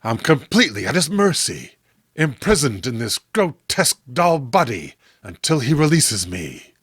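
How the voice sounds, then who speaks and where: A man voicing a desperate, horrified man trapped inside a doll, halting and melodramatic, in a horror comic. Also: mid-range voice, sing-song, fairly bright tone, husky, no room sound, clean studio sound, heavily acted. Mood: desperate resolve. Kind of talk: horror comic read-along acting.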